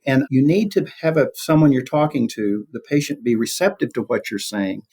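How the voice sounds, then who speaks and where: A man's voice: mid-range voice, moderate pace, a little mellow, breathy edge. A man speaking as an academic interview guest, explaining conversationally and illustrating his points with examples. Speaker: guest, professor of communication.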